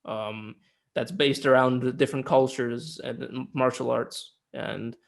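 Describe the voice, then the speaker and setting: A man's voice: medium pitch, fairly even intonation, unhurried, vocal fry. A man co-hosting a casual film discussion, talking in an informal, emphatic way with some swearing. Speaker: podcast co-host reviewing films.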